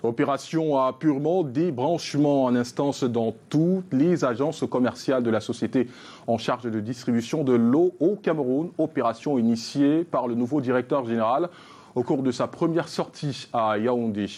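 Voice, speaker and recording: A man presenting an evening television news bulletin, reading headlines in a measured newsreader delivery. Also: mid-range voice, darkish tone. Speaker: news anchor.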